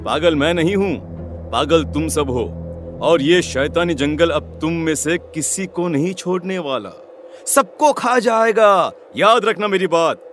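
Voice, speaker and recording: A man's voice: fairly high pitch, lively intonation, unhurried, fairly bright tone, faint music behind. A man playing a possessed village farmer in a cartoon story, ominous, preachy and threatening. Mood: furious threat. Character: crazed, possessed village farmer, sinister and hostile. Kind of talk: Hindi cartoon story acting.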